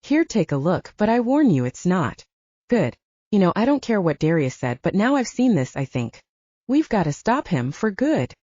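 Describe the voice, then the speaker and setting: Woman as earnest young male soldier, animated. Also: mid-range voice, normal speed, dry recording, lo-fi sound. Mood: disgusted, urgent. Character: young male soldier, tech-savvy, earnest, protective comrade.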